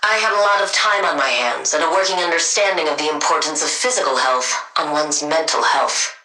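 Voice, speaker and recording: A low-pitched female scientist supervillain from a video game, with a slightly roomy sound. She is haughty and impatient, choosing clinical words and landing them with deadpan sarcasm. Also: a little fast. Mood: measured. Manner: deadpan, sardonic, precise scientific wording.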